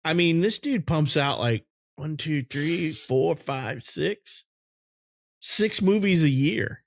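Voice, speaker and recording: A man's voice: on the high side, expressive, takes it slowly, gravelly, no echo. Casual male podcast co-host, dry close sound. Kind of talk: casual chat about movies, reading out facts.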